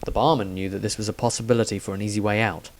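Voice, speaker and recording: A man's voice: on the low side, steady tone, rapid-fire. A man reading scripted narration of a fantasy story as its narrator. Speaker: author narrator.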